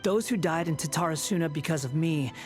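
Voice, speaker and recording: A man's voice: fairly high pitch, a little fast, faint music behind, lightly acted. An actor playing a proud, bitter young male wanderer in a video game, with a dry, aloof, cutting manner. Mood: aloof, resolute. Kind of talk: video game character acting.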